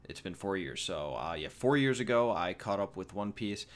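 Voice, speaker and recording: A man's voice: low-pitched, lively intonation, moderate pace. A 21-year-old man casually answering viewer questions in a rambling, jokey way. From United States. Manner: rambling and jokey, with fillers and repeats. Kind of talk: casual Q&A vlog.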